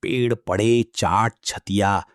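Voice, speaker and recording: A man playing a kindly old village grandfather in an audio story, husky and creaky, speaking haltingly. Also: on the low side, fairly even intonation, dry recording, clean studio sound. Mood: evasive.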